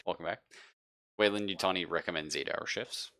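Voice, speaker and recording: Low, bright-voiced male game master. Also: brisk. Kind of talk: casual tabletop game narration. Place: live online game session.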